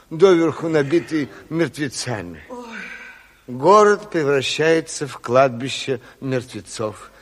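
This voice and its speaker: A flustered educated official in an old radio play, slow and stammery, big echoey hall. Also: male voice, on the high side, heavily acted. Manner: hesitant, stammering, pleading. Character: flustered health official, long-winded educated man, alarmed. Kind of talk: 1970s Soviet radio drama acting.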